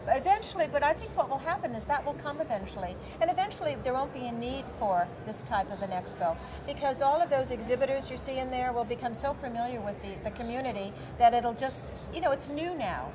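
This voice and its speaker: Middle-aged New woman, expo organizer, slightly high voice, noisy convention hall. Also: lively intonation, fast talker, few pauses. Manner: talkative, many fillers, gestures while talking. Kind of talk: interview answers. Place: large convention center hall, indoors. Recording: busy convention hall crowd noise. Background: crowd chatter.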